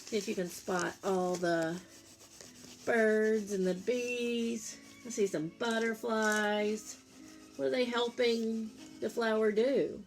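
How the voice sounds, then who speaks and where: Unhurried woman reading to children. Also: on the high side, fairly even intonation, faint music behind, low-quality recording. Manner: explains to young listeners, asking them questions. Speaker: teacher reading a picture book aloud. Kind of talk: children's story read-aloud with explanatory asides.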